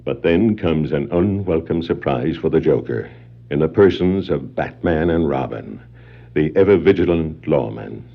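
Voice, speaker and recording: A man's voice: rumbling low, animated, unhurried, creaky. A man giving dramatic scripted narration for a 1960s superhero adventure record. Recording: dull vintage vinyl record, music behind.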